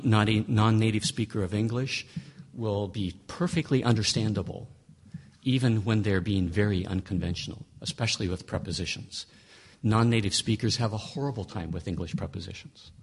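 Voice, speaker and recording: Husky, emphatic male Bible lecturer. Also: lowish voice. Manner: conversational, emphatic, with frequent restarts and rhetorical questions. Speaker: Bible teacher. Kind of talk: lecture on interpreting scripture.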